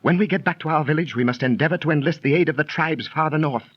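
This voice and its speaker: An actor playing a stubborn, resolute ancient Teuton warrior with formal, earnest speech in a 1930s radio drama. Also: male voice, a little high, fast talker, dry recording, close to an everyday voice. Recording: dull, lo-fi 1930s radio recording.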